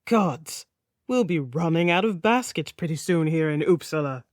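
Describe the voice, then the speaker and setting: An effusive Nordic king with a lilting accent and a low, loud, sing-song delivery, as a woman narrator puts him on. He gushes and teases, and the dry, close recording has no room sound. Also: animated, normal speed, no echo. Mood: teasing. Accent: Nordic, put on. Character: flamboyant chatty male Nordic king. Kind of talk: audiobook character voice.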